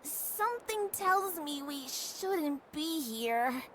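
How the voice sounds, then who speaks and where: A woman voicing a wary, learned young animal companion in a cartoon adventure game, measured and expressive. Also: sits high, slight background noise, full character voice, low-quality recording. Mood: uneasy.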